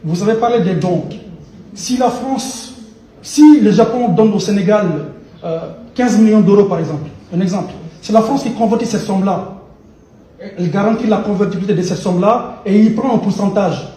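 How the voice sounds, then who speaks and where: A man's voice: sits high, normal speed, warm tone. A man speaking as a pan-African activist from the floor of a debate, insistent and lecturing, often stumbling and repeating words. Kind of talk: impassioned audience remarks in a debate. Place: conference hall. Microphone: far from the microphone. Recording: echoey hall from far off, faint noise.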